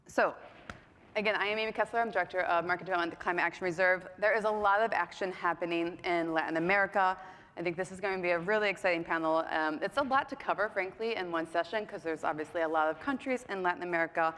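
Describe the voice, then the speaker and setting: A woman's voice: fairly low pitch, fairly bright tone. A woman moderating a conference panel, introducing speakers in a chatty way with frequent ums and self-deprecating asides. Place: conference hall. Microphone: mic on stage. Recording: echoey hall.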